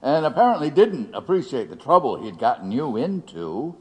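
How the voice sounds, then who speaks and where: An actor playing a dogged, sly defence lawyer in a courtroom audio drama, halting and insinuating. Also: male voice, mid-range voice, big pitch swings, husky. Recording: long hall reverb.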